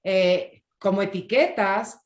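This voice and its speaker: A woman's voice: mid-range voice, slow pace, reverberant. A woman with an Ecuadorian Spanish accent, interviewing a guest, who talks emphatically, repeats her phrases and cuts in to steer the talk. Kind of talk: conversational interview.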